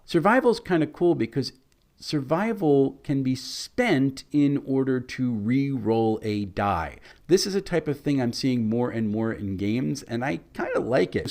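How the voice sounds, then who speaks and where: Male reviewer, hoarse and scratchy, easygoing and conversational, mid pitch. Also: a little mellow. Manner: conversational, mildly critical. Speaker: game reviewer. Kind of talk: casual product review.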